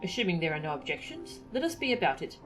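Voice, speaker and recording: Woman playing a formal young gentleman over music. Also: on the low side, very animated, fast. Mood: formal, brisk. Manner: formal, precise, self-important. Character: earnest, pompous young gentleman scholar of fantasy game.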